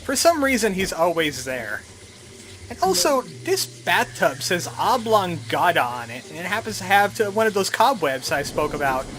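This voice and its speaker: Gaming host, animated and wry, mid-pitched, commenting on play over background music. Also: normal speed, slight background noise. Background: adventure game music.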